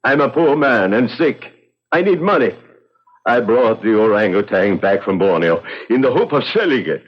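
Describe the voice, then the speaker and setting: An actor playing a poor, guilt-ridden man confessing in a 1970s radio drama, pleading and excitable as he justifies himself. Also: mid-range voice, moderate pace, lightly acted. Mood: pleading, regretful. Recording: dull, lo-fi old radio recording.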